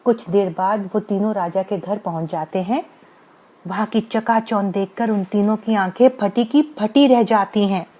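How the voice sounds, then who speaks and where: A woman's voice: mid-range voice, normal speed, dark tone. A woman narrating a scripted bedtime moral story as the storyteller.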